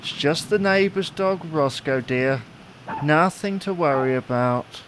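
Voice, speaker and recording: A man's voice: fairly high pitch, a little slow, a little hiss or hum, natural voice. A man playing a dry, long-suffering husband who placates his wife in a domestic audio drama. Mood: soothing, weary. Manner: wry, dryly placating. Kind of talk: domestic comedy-drama dialogue.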